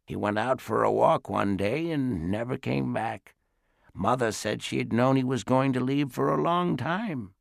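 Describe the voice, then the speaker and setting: A man doing a frail, gravelly old great-aunt. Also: mid-range voice, no room sound, studio quality, voice pushed up. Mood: sad. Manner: soft, slow and reminiscing. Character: frail elderly great-aunt, wistful and sad. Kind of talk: audiobook character voice.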